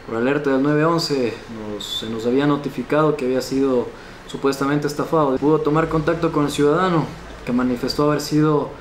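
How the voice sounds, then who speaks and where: A man's voice: on the high side, fairly even intonation, a little fast. A young man in his twenties with an Ecuadorian accent gives a formal, stiff official police statement for local news. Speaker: police chief, spokesman. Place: small indoor office, seated. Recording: echoey room with background noise.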